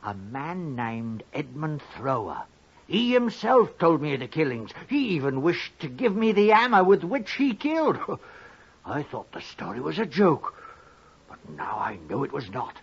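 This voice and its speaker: Scheming jailbird in a 50s radio play, husky, very animated, wheedling, dull old recording. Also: male voice, fairly high pitch, a little slow, exaggerated voice. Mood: eager pleading. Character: wily condemned male thief John Head, cackling, wheedling, scheming. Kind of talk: 1950s radio drama acting. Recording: muffled vintage 1950s radio recording.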